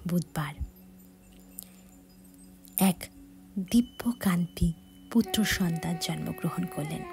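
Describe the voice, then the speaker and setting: A woman narrating a devotional story for children in a gentle, measured reading style with soft breathy passages. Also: on the low side. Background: faint background music.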